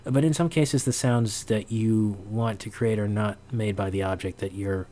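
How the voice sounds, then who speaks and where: A man giving an informal sound design tutorial, halting as he thinks aloud. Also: on the low side, steady tone, dark tone, slight background noise.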